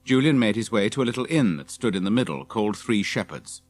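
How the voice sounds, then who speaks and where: A man's voice: on the low side, expressive, fast. A man reading scripted narration for a children's audiobook.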